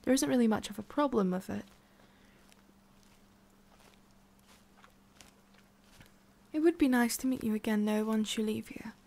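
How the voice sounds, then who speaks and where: Creaky-voiced tsundere witch, played by a woman. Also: on the high side, fast talker, warm tone. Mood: gently guiding. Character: centuries-old tsundere forest witch, haughty but secretly soft, woman. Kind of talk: ASMR roleplay, addressing the listener.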